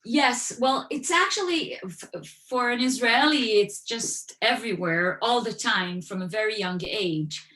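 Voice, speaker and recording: A woman's voice: fairly high pitch, a little slow, hardly any pauses, bright, forceful tone. A woman who grew up in Western Europe, speaking as an author and guest at an online event, telling stories and explaining with everyday examples. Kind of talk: conversational talk at an online event. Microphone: video call. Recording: echoey room.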